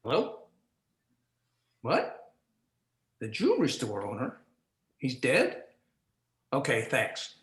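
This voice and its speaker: An actor playing a smug, wisecracking 1940s police detective in a campy radio noir play. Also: male voice, mid-range voice, huge pitch swings, takes it slowly, long pauses. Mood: matter-of-fact. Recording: roomy live stage sound.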